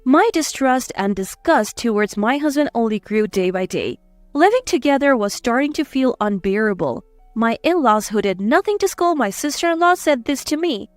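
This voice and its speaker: High-pitched, animated woman narrator. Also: normal speed, no room sound, faint music behind. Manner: expressive, acting out dialogue. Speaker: story narrator. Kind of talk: scripted first-person drama narration.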